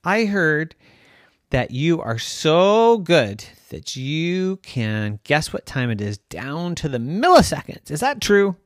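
A man voicing a skeptical, pushy school friend in a bedtime story, in a higher, lively voice. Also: takes it slowly, no echo, studio quality. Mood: challenging. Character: doubting schoolgirl friend, challenging.

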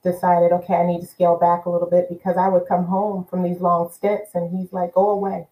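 A woman in her fifties, a podcast guest, telling her story in casual conversation with lively repetition for emphasis. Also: lowish voice, a little fast, roomy sound, poor audio. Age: 50s. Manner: animated storytelling, repeats words for emphasis.

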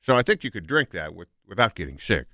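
A man's voice: low voice, sing-song, fast talker, bright, forceful tone, dry recording, clean studio sound. A man speaking as a talk show panelist in a casual discussion.

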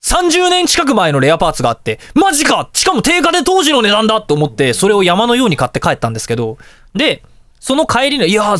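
A man hosting a radio show, chatting casually and telling anecdotes in an animated way, with exclamations and sound-effect words. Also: sits high, no room sound, studio quality.

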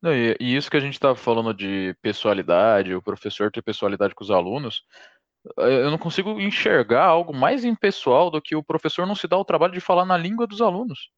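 A man hosting a casual conversation, relaxed and chatty, inviting questions from listeners. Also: a little high, quick pace, no room sound, lo-fi sound. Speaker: host.

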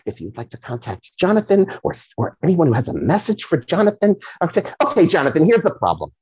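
A performer playing a flamboyant, gushing, scatterbrained celebrity psychic in a comic audio play, rambling and repeating phrases with theatrical drawn-out words. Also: mid-range voice, wildly swooping pitch, a little mellow, muffled recording, a little hiss or hum. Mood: gushing, fussy. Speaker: podcast guest playing a psychic. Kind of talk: improvised comic audio play, podcast spoof.

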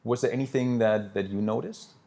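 A man hosting a business interview as a consultant, asking fluent, brisk questions. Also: mid-range voice, fairly even intonation, gravelly, warm tone.

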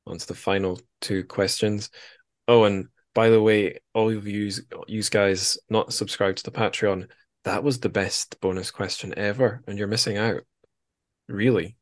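A man's voice: on the low side, fairly even intonation, measured pace, no echo, studio quality. A man chatting casually as a podcast host, with a wry, dryly joking manner.

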